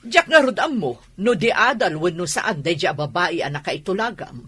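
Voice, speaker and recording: A woman acting a haughty, disapproving mother in an Ilocano radio drama. The voice is husky and swings widely up and down, with an Ilocano accent. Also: fairly low pitch, expressive, moderate pace, fairly bright tone. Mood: doting, scornful. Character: disapproving, haughty middle-aged mother who looks down on farm folk.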